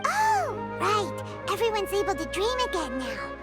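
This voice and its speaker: Tiny fairy sidekick, very high squeaky childlike voice, wildly swooping pitch, cocky and bubbly, game dialogue over music. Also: female voice, wildly swooping pitch, unhurried, exaggerated voice. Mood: curious, nonchalant. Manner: refers to self in third person, bubbly, cocky. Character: tiny excitable childlike fairy companion, greedy, boastful, female. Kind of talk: animated game voice acting.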